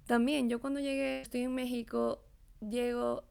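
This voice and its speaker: A woman from Venezuela, talking in a lively, expressive way in a casual conversation. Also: a little high, normal speed, creaky, smooth. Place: living in Mexico.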